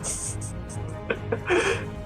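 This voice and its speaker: A man's voice: very slow, over music. A man giving casual, wry, self-deprecating live commentary as he plays through a video game as a speedrunner. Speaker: speedrunner commentating on own play.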